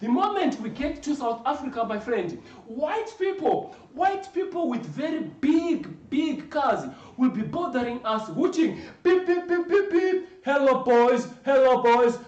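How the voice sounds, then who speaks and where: An actor playing an excitable young male migrant who keeps saying "my friend". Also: very high-pitched, measured pace, few pauses. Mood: excited boasting. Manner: animated, repeats "my friend". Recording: roomy, distant, dull sound.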